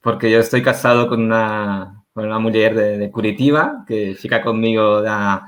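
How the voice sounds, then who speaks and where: A man's voice: fairly low pitch, fairly even intonation, moderate pace. A man from Barcelona, a native Catalan speaker, joining a panel discussion remotely as a guest journalist. He speaks Portuguese with a Catalan accent, slips Spanish words in and restarts his phrases often. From Barcelona, Spain. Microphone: remote video call.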